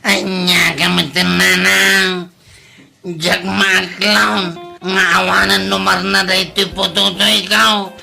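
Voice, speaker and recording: A man playing a grown son bickering with his mother in an Ilocano comedy drama, with a gravelly, forceful voice and a slightly noisy recording. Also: high-pitched, flat delivery, slow pace, soft background music, heavily acted. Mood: whiny protest.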